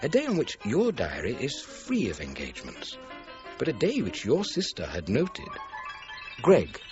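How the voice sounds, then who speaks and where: An actor with a British accent playing a shrewd, probing chief inspector in a radio crime drama, questioning in a pointed, methodical way. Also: male voice, medium pitch, huge pitch swings, unhurried, lo-fi sound, over music.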